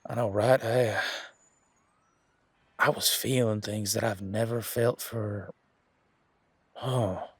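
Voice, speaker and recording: A man's voice: on the low side, fairly even intonation, takes it slowly, pauses often, slightly rough, no echo, heavily acted. A man playing a polite, flustered werewolf boyfriend in a romantic audio drama, talking casually with nervous stammers. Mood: amazed, relieved. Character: Gavin, flustered, polite, excitable young male werewolf boyfriend.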